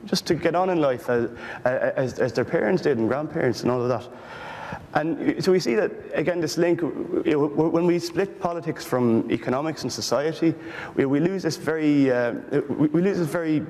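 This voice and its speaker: A man from Ireland with an Irish accent, speaking earnestly but haltingly on a panel about political research. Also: on the high side, expressive, darkish tone, big-room sound. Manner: earnest, halting, many restarts. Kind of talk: panel discussion.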